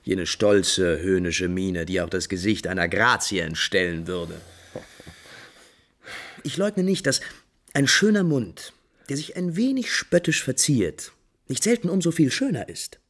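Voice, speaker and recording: A man playing an impulsive, refined, lovesick prince in 1950s German radio drama, theatrically shifting between musing and brisk command. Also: lowish voice, sing-song, fairly bright tone. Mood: animated, critical. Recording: 1950s radio recording with music behind.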